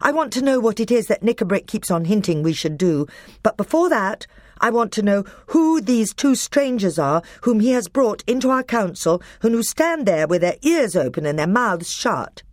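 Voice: mid-range voice, very animated, normal speed, dry recording, clean studio sound, voice pushed up, close to an everyday voice. A reader voicing a young male king who speaks firmly and urgently. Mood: commanding. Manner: firm, impatient.

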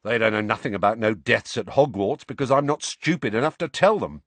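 A man's voice: on the low side, animated, fairly quick, a bit bright, dry recording. A man voicing an easygoing teenage boy with an offhand manner. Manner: offhand, casual.

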